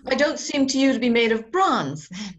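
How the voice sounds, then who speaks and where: A woman's voice: fairly high pitch, sing-song, normal speed, lo-fi sound. A woman playing a tipsy, flirtatious society lady in a radio play, swoony and stammering. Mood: giddy, flustered.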